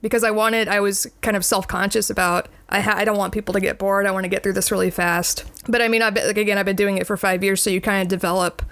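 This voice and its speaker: A woman's voice: mid-range voice, flat delivery, fast, hardly any pauses, a bit bright, no room sound. A woman in her thirties, a video essayist, answering interview questions candidly, laughing now and then between points.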